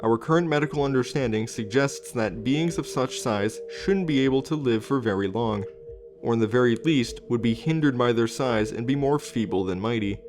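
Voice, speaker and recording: A man's voice: medium pitch, moderate pace. A man hosting and narrating a scripted informational documentary. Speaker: host and narrator. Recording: music under the voice. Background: background music.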